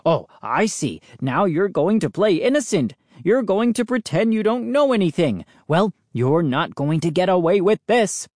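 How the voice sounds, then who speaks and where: A narrator voicing a furious middle-aged dad in a storybook, bitter and accusing, with shouted outbursts. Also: mid-range voice, big pitch swings, moderate pace, hardly any pauses, no room sound, clean studio sound, voice pushed up.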